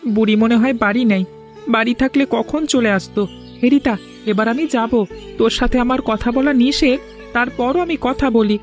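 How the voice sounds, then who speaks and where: Woman voicing a high-pitched, timid village boy. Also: moderate pace, soft background music, close to an everyday voice. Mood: worried. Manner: cautious, worried. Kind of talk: cartoon voice acting.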